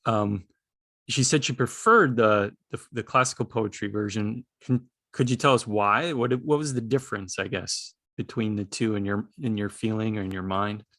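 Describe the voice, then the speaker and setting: A man's voice: fairly low pitch, moderate pace, fairly bright tone, no echo, studio quality. A man asking questions in a casual, thoughtful discussion, hesitantly searching for his words.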